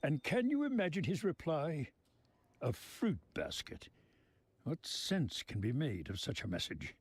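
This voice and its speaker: Husky, theatrical old mob boss. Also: male voice, on the high side, wildly swooping pitch, dry recording, heavily acted. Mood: wry, courteous. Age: older. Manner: florid, theatrical, deliberate phrasing. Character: theatrical, genteel old male mob boss, sly and verbose. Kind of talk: video game voice acting.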